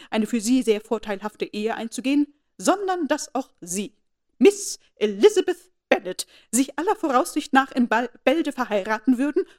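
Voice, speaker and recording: Woman putting on a bossy old noble lady, way higher and louder than her own voice, indignant and commanding. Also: close to an everyday voice. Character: haughty imperious elderly noblewoman aunt.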